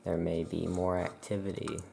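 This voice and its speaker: Soft-spoken ASMR shopkeeper, low-pitched and mellow, unhurried item-by-item explanation, faint ambient noise. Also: flat delivery, vocal fry. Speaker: ASMR performer as witchcraft shopkeeper.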